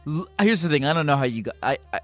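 A male podcast host chatting casually with callers. He stumbles through his questions, restarting and piling up fillers before landing on the point. Soft music plays faintly underneath. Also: a little high, lively intonation. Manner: halting, stammering questions with many restarts. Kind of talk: casual call-in chat.

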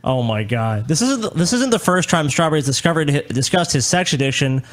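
Man, higher-pitched, lively intonation, casual podcast banter, profane, incredulous delivery. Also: a little fast. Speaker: podcast co-host. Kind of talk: casual comedic banter, reading news aloud.

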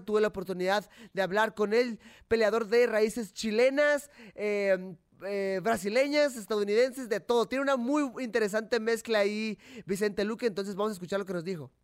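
A young man hosting a casual fight-sports talk show, animated and chatty, often restarting his sentences. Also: sits high, clean tone. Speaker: host.

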